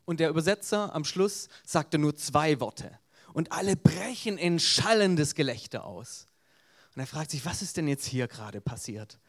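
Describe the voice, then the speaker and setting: A man's voice: fairly high pitch, expressive, normal speed. A man with a Swabian accent, an evangelist giving an earnest evangelistic sermon with storytelling.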